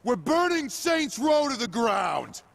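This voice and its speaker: An actor playing an angry young gang leader in a video game, forcefully rallying a crew for revenge. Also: male voice, very high-pitched, sing-song, slow pace, gravelly, heavy background noise, exaggerated voice. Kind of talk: video game cutscene speech.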